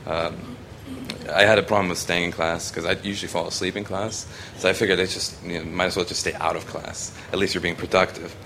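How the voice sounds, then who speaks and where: Young man from Hong Kong, deep low voice, loose rambling delivery over faint background noise. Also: level tone, fairly quick, vocal fry, fairly bright tone. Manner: rambling, many ums and restarts. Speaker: student photographer presenting his work. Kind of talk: casual spoken presentation.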